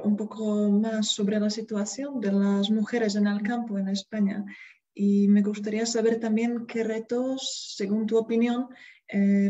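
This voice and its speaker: A woman moderating a reading, with a mellow, dark voice and a very flat delivery. She asks polite, carefully framed questions, and her audio comes through dull and lo-fi. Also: mid-range voice. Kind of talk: moderating a bilingual discussion.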